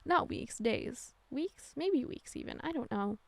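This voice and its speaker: A young woman chats casually, rambling and correcting herself with plenty of fillers. Her fairly high voice carries a gravelly vocal fry. She is recorded close up on a dry microphone, with no room sound. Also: expressive, unhurried, no room sound. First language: Norwegian. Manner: rambling, chatty, self-correcting with many fillers. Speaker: game builder narrating a speedbuild. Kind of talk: casual voice-over chat.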